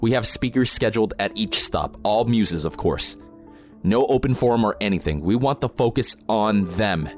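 A man's voice: lowish voice, animated, moderate pace, faint music behind, close to an everyday voice. A man playing an experienced, protective protest organizer in an audio drama, plain-spoken, informal and matter-of-fact. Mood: firm briefing. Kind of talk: naturalistic audio drama acting.

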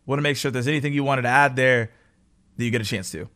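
A man's voice: medium pitch, fast talker, pushed and bright. Man, interview host, conversational delivery, mid-range voice.

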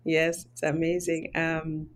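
A woman's voice: low-pitched, completely flat delivery, slow pace. A woman hosting a podcast interview, asking questions in an encouraging way. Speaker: podcast host.

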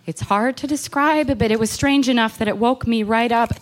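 Actress as anxious, haunted woman, higher-pitched voice. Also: close to an everyday voice. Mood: uneasy, brooding. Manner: halting, intense confessional delivery. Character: anxious, haunted woman recounting an insect terror. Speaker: actor. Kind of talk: horror radio play monologue and dialogue.

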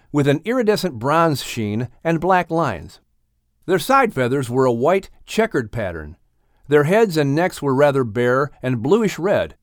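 A man narrating a children's audiobook in an expressive scripted storytelling style. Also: medium pitch, dry recording, clean studio sound.

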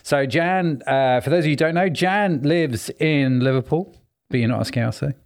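Gravelly-voiced man hosting a chatty podcast. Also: a little high. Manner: chatty and teasing, with quick restarts. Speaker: podcast host. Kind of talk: casual interview chat.

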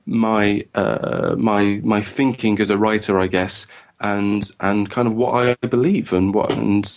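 A man co-hosting a podcast, chatting casually in a fairly low voice. He rambles and stammers over repeated words as he thinks aloud. His voice sounds a bit croaky. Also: fairly even intonation, measured pace. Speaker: podcast co-host.

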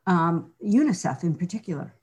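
A middle-aged woman with a slightly low voice speaks earnestly in an interview. She reflects as she goes, circling back and restarting her sentences. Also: animated, normal speed, a little mellow, slightly rough. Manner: earnest, reflective, with frequent restarts and "you know". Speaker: guest. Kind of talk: candid personal interview.

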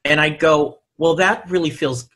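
Bright, slightly husky male singer guest. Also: on the high side, measured pace. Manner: earnest, reflective, repeats himself while he thinks. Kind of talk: casual conversation.

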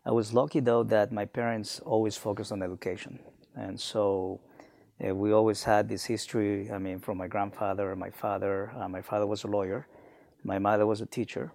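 Reflective man from Honduras, gravelly. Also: on the low side, flat delivery, normal speed, darkish tone, no room sound. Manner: reflective, many fillers and restarts. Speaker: guest, business alumnus. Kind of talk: conversational interview.

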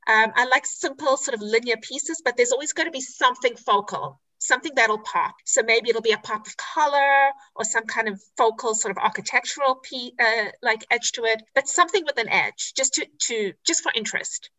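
Bright-voiced South African woman. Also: a little high, rough recording. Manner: chatty and candid, with frequent "um" pauses and self-corrections. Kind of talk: podcast interview.

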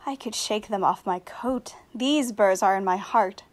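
A woman's voice: medium pitch, measured pace, close to an everyday voice. A woman playing a proud, lovelorn young banished noblewoman in a Shakespearean radio play, speaking earnestly. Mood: lovesick.

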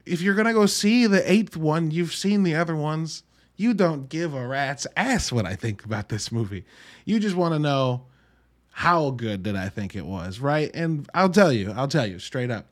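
A man's voice: fairly high pitch, lively intonation, normal speed. Casual, wry man reviewing films solo. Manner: informal, wry, mildly profane. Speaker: podcast host reviewing films.

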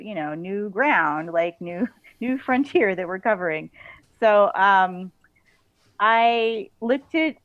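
A woman's voice: on the low side, no echo. A woman talking effusively and casually as an author being interviewed, in a loose conversational way full of "like". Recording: band-limited, slightly dull audio.

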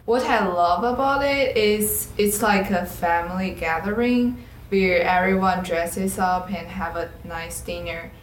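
A teenage female student with a Chinese accent giving casual answers as an interview guest. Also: fairly low pitch. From China. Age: teens. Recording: roomy echo, background noise.

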